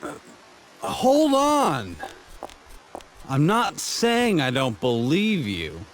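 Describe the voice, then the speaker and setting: A man's voice: medium pitch, a little hiss or hum. An actor playing a wry, skeptical young male adventurer in a video game, casual and sardonic. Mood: wary. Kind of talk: English video game dub acting.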